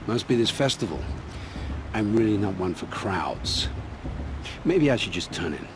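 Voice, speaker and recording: An actor playing a gruff, evasive ruin scavenger and scout in a video game, brusque and defensive. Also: male voice, fairly low pitch, sing-song, a little slow. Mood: guarded. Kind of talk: acted video game dialogue. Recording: clearly noisy, ambient background.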